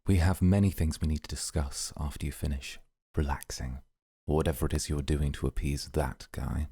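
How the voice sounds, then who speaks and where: A man playing a stern, serious mafia boss in an ASMR roleplay, gravelly, measured and formal, with dry sardonic understatement. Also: very deep voice, steady tone, dark tone, no room sound, full character voice.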